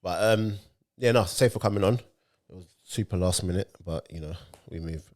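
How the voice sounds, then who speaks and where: A young man hosting a football podcast, his voice low and deep. He talks in a laid-back, drawling way, close to the mic. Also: moderate pace, darkish tone. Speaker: podcast host. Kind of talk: casual football podcast chat.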